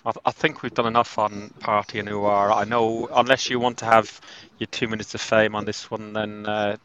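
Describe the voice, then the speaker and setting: A man's voice: fairly low pitch, flat delivery, moderate pace, fairly bright tone, lo-fi sound, slight background noise. A man hosting a casual football chat, hesitant and full of restarts and ums. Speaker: podcast host.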